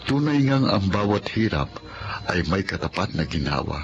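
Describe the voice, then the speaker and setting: An actor with a Filipino accent playing an earnest, sensitive young son in an emotional radio drama. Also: male voice, sits low, big pitch swings, normal speed, slight background noise. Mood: grateful, reflective. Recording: dull lo-fi audio, loud music behind.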